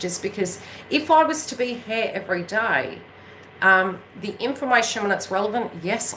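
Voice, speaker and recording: She is a New Zealand woman with a lowish, forceful, bright-edged voice. She talks off the cuff like a spiritual coach on her own channel, emphatic and meandering, often tossing in little check-in questions. A faint noise sits under the recording. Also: low-quality recording. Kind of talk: casual unscripted chat to viewers.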